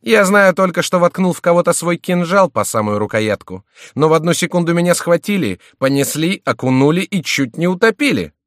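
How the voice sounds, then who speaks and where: A male narrator plays a battered young courtier in a storybook tale. The voice is high and swooping, full of indignant exclamations and loud complaint, as if every wrong were a scandal. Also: animated, fairly quick, hardly any pauses, dry recording, clean studio sound, close to an everyday voice. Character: outraged, battered young nobleman, a king's favourite, loudly complaining. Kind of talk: audiobook character voice.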